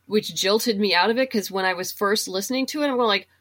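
A woman's voice: mid-range voice, quick pace, a bit bright. A woman speaking as an interview guest, explaining things conversationally and thinking aloud in a casual chat about books. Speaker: guest, comic book writer and novelist.

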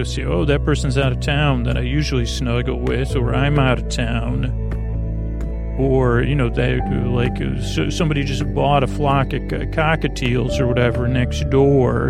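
A man hosting a bedtime podcast in a creaky voice, rambling gently and soothingly, often stammering and wandering off into tangents. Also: medium pitch. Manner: meandering, hesitant, stammering with tangents. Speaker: host of a bedtime sleep podcast.

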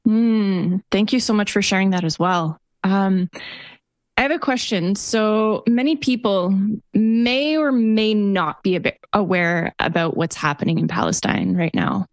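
A woman's voice: mid-range voice, measured pace, dry recording. A woman hosting an interview, polite and encouraging, who thanks her guest often.

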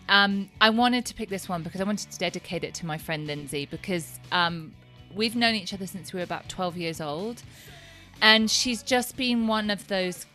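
A woman's voice: on the low side, pushed and bright. A woman in her fifties with an English accent, chatting casually and effusively as an interview guest. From East Anglia, England. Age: 50s. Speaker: interview guest, sober coach. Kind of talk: casual chat.

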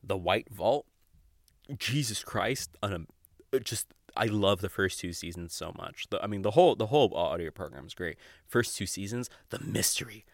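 A young adult man hosting a podcast solo, chatting casually and off the cuff in a giggly, rambling, sweary way with halting false starts. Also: on the low side, lively intonation, creaky, no echo, studio quality. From Mexico or of Mexican family. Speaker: podcast host. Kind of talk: casual unscripted podcast monologue.

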